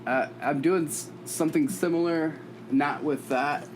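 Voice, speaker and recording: A man's voice: fairly high pitch, steady tone, a little slow. A man of thirty-one hosting a casual podcast chat, joking and irreverent, in a band's jam room. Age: 30s. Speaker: podcast host. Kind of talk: casual interview banter. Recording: noisy room recording.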